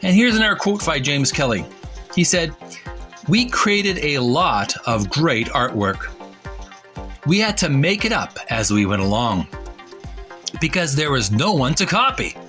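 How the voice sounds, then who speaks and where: A man's voice: a little high, big pitch swings, a little slow, pauses often, pushed and bright. A man hosting a retro gaming channel, talking in a chatty, earnest, folksy way as he comments and reads passages aloud. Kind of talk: casual commentary with read-aloud book passages. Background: music.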